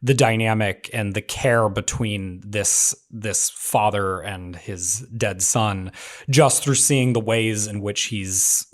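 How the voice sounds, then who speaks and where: A man with a slightly low voice talks in a casual creative discussion. He circles toward his point in hesitant phrases, often dropping in "like" as he goes. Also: slow pace.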